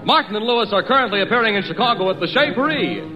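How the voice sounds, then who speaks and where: A man's voice: high-pitched, expressive, very fast, muffled recording. A man reading formal closing credits as an announcer in vintage radio style. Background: music.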